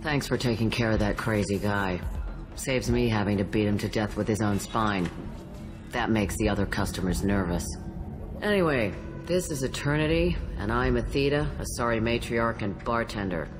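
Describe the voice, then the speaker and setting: A woman's voice: a bass voice, expressive, normal speed, over music. A woman playing a blunt, sardonic old alien matriarch who tends bar in a video game, with a dry, threatening wit. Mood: dry, wry.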